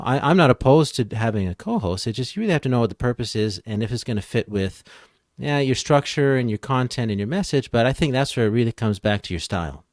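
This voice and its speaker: A man's voice: mid-range voice, dry recording, studio quality. A man speaking as an expert podcast guest, explaining and advising in a casual, easygoing conversational way.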